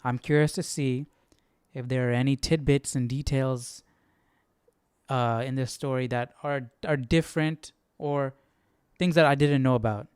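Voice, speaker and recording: Guy hosting a study stream, clear mid voice, chatty musing, dry sound. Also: mid-range voice, steady tone, measured pace, darkish tone, dry recording. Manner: conversational, musing aloud, seeks agreement. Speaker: Bible study livestream host. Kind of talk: casual reaction and commentary.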